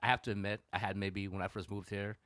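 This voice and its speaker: A man co-hosting a podcast, animated and teasing, and he swears freely. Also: lowish voice, fast, no echo. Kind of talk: casual podcast chat.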